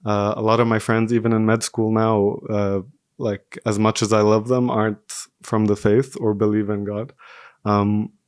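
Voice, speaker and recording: A man's voice: fairly low pitch, a little mellow, smooth, dry recording, studio quality. A man in his twenties from Singapore, speaking casually and conversationally as a podcast guest. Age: 20s. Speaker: podcast guest, medical student.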